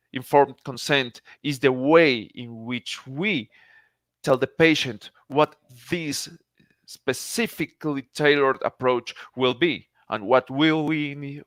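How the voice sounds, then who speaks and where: A man's voice: medium pitch, a bit bright, no room sound. A man from Colombia, a native Spanish speaker with a Colombian Spanish accent, gives an earnest, formal conference lecture as a psychiatrist, often restarting his phrases.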